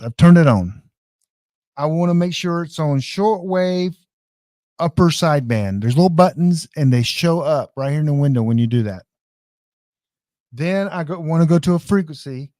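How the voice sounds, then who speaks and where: A man's voice: a little high, darkish tone, dry recording, clean studio sound. A man giving a casual, hands-on live tutorial in a folksy, informal way, speaking straight to his viewers. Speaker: online class presenter demonstrating radio gear. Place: indoors.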